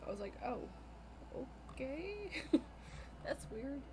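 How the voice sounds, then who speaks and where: A woman's voice: high-pitched, sing-song, takes it slowly, noisy background. A woman guesting on a casual podcast chat, laughing often as she talks. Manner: laughs often while talking.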